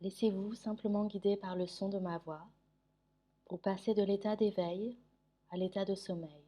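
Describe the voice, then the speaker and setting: Very mellow woman guiding sleep meditation. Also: medium pitch, fairly even intonation. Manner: soothing, gentle instruction with long pauses. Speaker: yoga nidra meditation guide.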